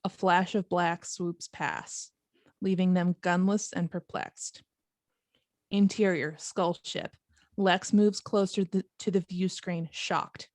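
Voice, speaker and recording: Unhurried woman reading stage directions. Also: on the low side, fairly even intonation, dry recording, clean studio sound. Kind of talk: scripted narration at an amateur table read.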